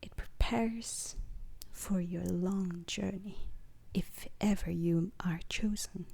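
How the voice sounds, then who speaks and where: A woman plays an alien instructor briefing space recruits in an ASMR roleplay. The delivery is a breathy, husky whisper with some crackle in it. Every phrase comes slowly and deliberately, solemn and strict like a lesson. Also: fairly low pitch, steady tone, warm tone, full character voice. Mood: solemn, instructive.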